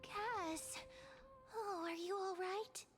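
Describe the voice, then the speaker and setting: High-pitched childlike companion. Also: female voice, extremely slow, faint music behind, exaggerated voice. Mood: concerned. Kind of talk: video game voice acting.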